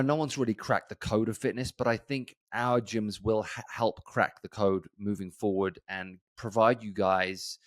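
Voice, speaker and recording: A man in his forties hosting his own fitness podcast alone, talking casually to his listeners with many pauses and restarts. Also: lowish voice, no echo. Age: 40s. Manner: rambling, many ums and restarts, conversational. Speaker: gym owner and podcast host. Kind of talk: casual solo podcast talk.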